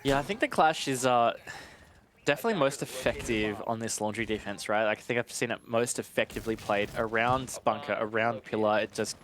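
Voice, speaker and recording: A man's voice: mid-range voice, fairly quick, fairly bright tone. A man giving conversational live analysis as an esports caster, in an informal way with casual asides.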